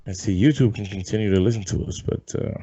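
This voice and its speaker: A man hosting a casual sports podcast, rambling and loose, swearing offhand, a bit hoarse as if coming down with something. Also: on the low side, quick pace, warm tone. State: losing his voice, feeling sick. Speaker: podcast host. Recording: dull lo-fi sound.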